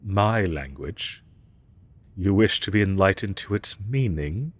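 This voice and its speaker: Man acting as a pompous deep-voiced ancient dragon professor. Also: lively intonation. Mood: condescending. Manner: pompous, archaic, formal diction; disdainful and deliberate. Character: haughty ancient male dragon professor, condescending to humans. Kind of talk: roleplay audio drama, scripted monologue.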